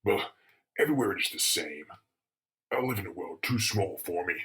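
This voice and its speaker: A man acts out a hulking, bitter giant from a superhero comic. The voice sits very low, with a gravelly husk and a weary grudge against a world too small for him. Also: lively intonation, brisk, fairly bright tone, full character voice. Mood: weary, grim. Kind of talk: dramatic comic-book voice acting.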